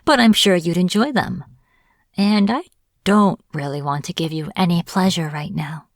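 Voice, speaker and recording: A woman's voice: lowish voice, normal speed, no room sound, studio quality, natural voice. A woman acting as a captured witch in a fantasy audio roleplay, irritable and defiant, speaking informally with sarcastic exasperation. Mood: irritated, scornful. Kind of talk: dramatic fantasy audio roleplay, addressed to the listener.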